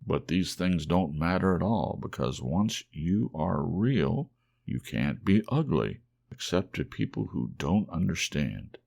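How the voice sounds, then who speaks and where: Man as wise old storybook horse, slow, low, creaky, gentle, reassuring. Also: very animated, heavily acted.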